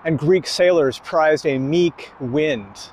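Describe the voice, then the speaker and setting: Male preacher, slow emphatic delivery, slightly high voice, faint noise behind. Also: darkish tone. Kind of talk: sermon.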